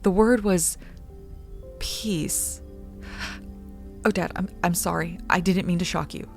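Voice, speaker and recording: An actress playing an earnest, yearning young daughter in a radio drama. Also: female voice, lowish voice, steady tone, takes it slowly, vocal fry, a little mellow, faint music behind. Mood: urgent, pleading. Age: young adult.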